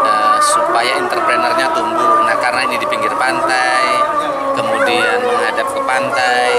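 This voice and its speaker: A middle-aged man, a regional head explaining points with fillers in an outdoor press interview. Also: mid-range voice. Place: outdoors at a festival. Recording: loud crowd and music.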